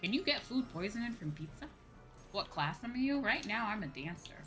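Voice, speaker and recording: A woman's voice: medium pitch, sing-song, normal speed, fairly bright tone, faint music behind. A woman streaming a game and chatting casually, with a sweary, playfully whiny and emphatic manner. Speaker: streamer, VTuber host.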